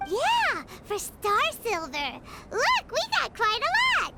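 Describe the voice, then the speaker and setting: A woman voicing a tiny, chirpy female fairy companion in a video game cutscene, excitable and talking about herself in the third person. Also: very high-pitched, slow pace, creaky, very noisy, heavily acted. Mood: chatty. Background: game music.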